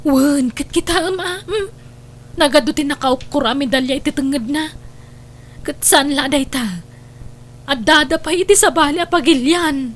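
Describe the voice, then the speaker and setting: A woman's voice: high-pitched, measured pace, pauses often. A woman with an Ilocano accent playing a nagging, defiant wife from a poor household in a family drama. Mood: urgent, insistent. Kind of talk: Ilocano radio-style family drama acting.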